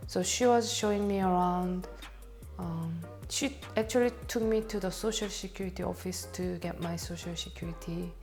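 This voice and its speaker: A woman speaking English as a second language with a Korean accent, telling her story as a barber in a documentary interview, in halting phrasing and laughing at herself. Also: on the low side, a little mellow, faint music behind.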